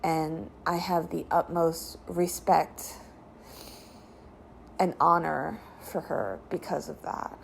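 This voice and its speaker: A woman delivers a solemn personal tribute very slowly, in measured, halting phrases. Her voice is gravelly with fry, and faint ambient noise sits behind it. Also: low voice, steady tone. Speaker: podcast co-host giving a guest tribute.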